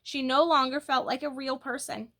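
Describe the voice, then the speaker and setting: Bright-voiced woman reading a children's storybook aloud. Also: high-pitched, level tone, moderate pace. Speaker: storybook reader. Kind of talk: expressive children's book read-aloud.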